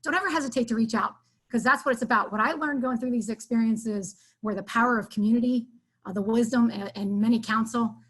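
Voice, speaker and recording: A woman's voice: a little high, brisk, fairly bright tone, husky. Woman coach, heartfelt and reflective, filler-heavy delivery, video call sound. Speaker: softball coach. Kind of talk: panel remarks over video call.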